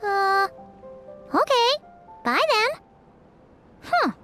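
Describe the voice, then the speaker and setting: A woman voicing a tiny floating female companion who is excitable and chatty and speaks of herself in the third person, in a video game cutscene. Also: very high voice, very slow, full character voice. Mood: excited, chatty. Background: game background music.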